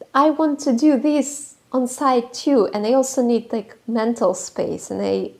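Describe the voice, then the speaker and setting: A woman's voice: on the high side, a little mellow. A woman YouTuber giving casual, frank commentary, hesitating often with fillers and restarts.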